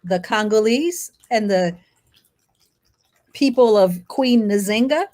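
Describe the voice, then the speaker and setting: A middle-aged woman born in Haiti and raised in the United States, explaining history as an interview guest in emphatic, short phrases broken by pauses. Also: mid-range voice, animated, warm tone. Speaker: guest speaker, lawyer and activist.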